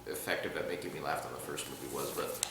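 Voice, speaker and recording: A man reviewing a film in casual chat, rambling and sarcastic, with animated outbursts. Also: rumbling low, quick pace, creaky. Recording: very echoey room, faint noise.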